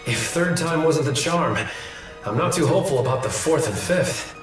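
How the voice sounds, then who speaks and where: A man's voice: on the high side, expressive, moderate pace, natural voice. An actor playing a dry, laconic male ship crewman in a video game, with a wry, understated manner. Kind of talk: English video game voice acting. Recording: echoey, distant, faint game music.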